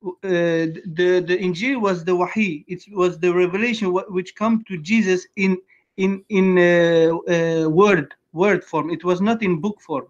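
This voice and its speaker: A man calling in to argue about religion, halting and repeating his words as he protests, over a lo-fi call. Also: sits high, steady tone. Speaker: debate caller. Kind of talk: unscripted religious argument. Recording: dull lo-fi call audio.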